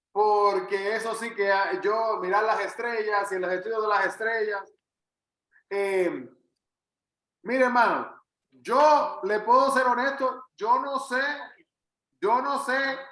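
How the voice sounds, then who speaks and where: A man's voice: high-pitched, slow pace, pauses often, bright, forceful tone, roomy sound. A man with a Puerto Rican Spanish accent giving a conversational Bible teaching as a pastor, earnest and emphatic, repeating phrases for weight. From Puerto Rico. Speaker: pastor leading a Bible study.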